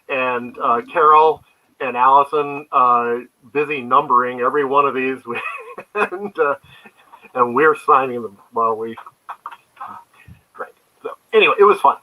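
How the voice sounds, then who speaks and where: A man's voice: medium pitch, narrow, tinny audio. An older man, a guest comics creator, telling rambling anecdotes in a casual interview.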